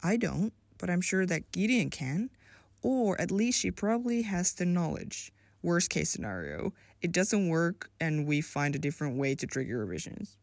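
A woman's voice: lowish voice, sing-song, normal speed, creaky, darkish tone, no echo, studio quality. A woman playing an upbeat, helpful character full of ideas in an audio drama.

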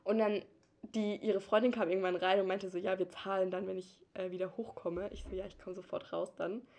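A woman's voice: mid-range voice, flat delivery, fairly quick, darkish tone. A young woman co-hosting a podcast, telling a work anecdote in casual chat and laughing often. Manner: laughs often, tells anecdotes with acted quotes. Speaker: podcast co-host.

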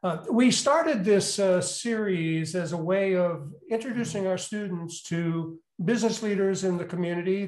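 A man hosting an interview in a courteous, conversational way, with deliberate phrasing. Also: sits high, a little mellow. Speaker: interview host, business school executive in residence. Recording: roomy, echoing sound.

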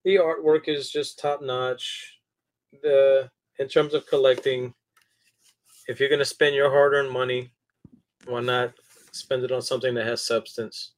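A man's voice: medium pitch, level tone, measured pace. A man co-hosting a casual podcast about horror collectibles, talking in a laid-back, swearing, bro style. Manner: laid-back, profane, calls people bro. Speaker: podcast co-host.

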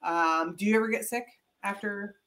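A woman's voice: mid-range voice, normal speed, a bit bright. A woman co-hosting a running podcast, talking casually with many fillers and easy laughs, her voice thick from a head cold. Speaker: podcast co-host. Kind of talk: casual chat.

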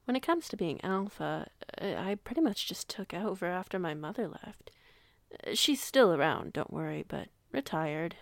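Werewolf alpha in her late twenties, acted by a woman: lowish, close and dry, composed, courteous, formally phrased audio-drama role. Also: very animated, moderate pace, gravelly, no echo. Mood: matter-of-fact. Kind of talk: audio roleplay acting, conversation.

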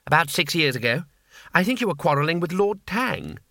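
Here is a timid little old man in spectacles, speaking high and hesitantly, with a stammer that keeps breaking in, as a man voices him. His voice is lively and up and down, and it is recorded dry and close. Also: a little fast, no echo, full character voice. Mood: meek.

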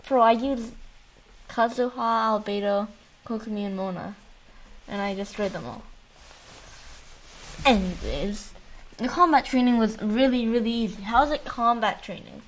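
Female co-host for gaming chat. Higher-pitched, slangy, informal, bantering delivery. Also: animated, moderate pace, long pauses, poor audio. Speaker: podcast co-host. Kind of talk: casual chat about a video game.

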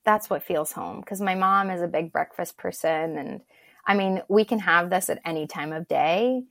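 Gravelly low-voiced woman from Turkey. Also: normal speed, fairly bright tone, no echo, studio quality. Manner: reflective and encouraging. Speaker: guest. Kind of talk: casual interview talk.

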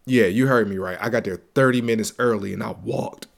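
A man's voice: lowish voice, a little fast, vocal fry, soft background music. A young man hosting a casual solo gaming podcast, a little stuffy and congested, talking in slang with a hype-man energy and repeating his lines for emphasis. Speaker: podcast host.

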